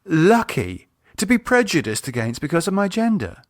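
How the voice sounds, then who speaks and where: A man voicing a composed, teasing woman with a sharp edge and a polite, ironic manner in an audiobook. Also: on the high side, big pitch swings, moderate pace, dry recording, clean studio sound, pitched above the performer's own voice. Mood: indignant. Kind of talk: audiobook character dialogue.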